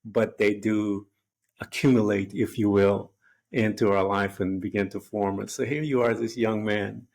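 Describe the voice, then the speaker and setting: A man's voice: fairly low pitch, fairly even intonation, measured pace, darkish tone. A man hosting an interview, asking questions and reflecting in an earnest, rambling way. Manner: earnest, rambling, fond of "if you will". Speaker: podcast host.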